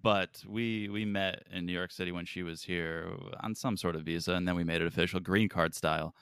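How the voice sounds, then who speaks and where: A man from Connecticut, chatting as a podcast guest and making dry jokes. His voice is somewhat low, and the recording is close and dry. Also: fairly even intonation, brisk, a bit bright, smooth, no room sound. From Connecticut, USA. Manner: dry, wisecracking. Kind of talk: casual chat.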